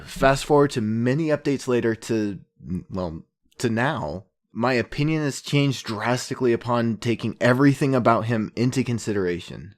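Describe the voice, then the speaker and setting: An actor playing an earnest, troubled journalist, narrating reflectively with hesitant pauses like a podcast host. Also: male voice, mid-range voice, natural voice. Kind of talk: podcast-style narration in audio drama.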